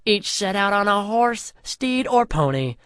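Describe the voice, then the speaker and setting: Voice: mid-range voice, sing-song, unhurried, a bit bright, smooth, dry recording. A storyteller with a mid-range voice reads a comic fantasy tale as if it were a grand epic. The delivery is mock-solemn, and the sound is close and dry.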